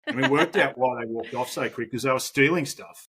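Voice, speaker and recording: A man's voice: mid-range voice, a little fast, a bit bright, slightly rough. An Australian man in his forties, a podcast guest talking casually, rambling with lots of fillers and the odd swear word. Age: 40s. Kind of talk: casual conversational interview.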